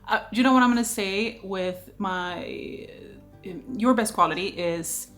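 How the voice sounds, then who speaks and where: A Swedish-accented woman in her thirties, chatty and lively as she runs a relaxed Q&A with her partner. Her voice sits at an ordinary middle pitch, with soft music playing faintly underneath. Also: a little slow. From Sweden. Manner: lively, chatty, laughs often. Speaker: vlogger host. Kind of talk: casual Q&A chat.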